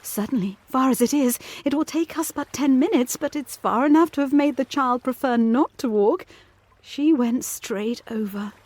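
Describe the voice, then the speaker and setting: A woman's voice: high voice, moderate pace, darkish tone, no echo. A woman playing an anxious, obsessive governess in a gothic audio drama, speaking formally and intensely. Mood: urgent, insistent. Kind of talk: audio drama acting, period literary dialogue. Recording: music playing behind the voice.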